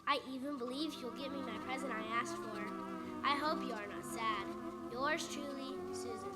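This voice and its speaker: A woman's voice: high-pitched, moderate pace, lightly acted. A woman playing an earnest, tender-hearted little girl with a childlike voice in a live radio play. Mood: hopeful. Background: music.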